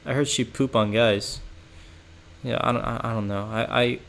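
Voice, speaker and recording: A young man talks loosely on a home livestream, stringing thoughts together with lots of "like" and "bro" and breaking into laughs. His voice sits in a middle pitch with a gravelly, creaky edge. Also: fairly even intonation, moderate pace, a bit bright, slight background noise. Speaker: streamer. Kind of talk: casual livestream chat reacting to chat.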